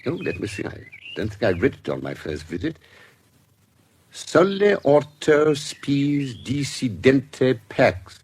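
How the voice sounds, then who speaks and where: An actor with a British accent playing an urbane, shrewd medico-legal investigator in a radio drama, speaking with courteous, measured formality. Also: male voice, mid-range voice, sing-song, darkish tone, slightly rough, full character voice. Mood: genial, probing. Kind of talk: period radio drama acting.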